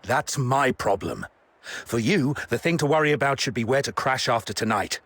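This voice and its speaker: A man voicing a cold, menacing authority figure in a video game, husky, curt and dismissive. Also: mid-range voice, animated, moderate pace, fairly bright tone. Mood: cold, commanding.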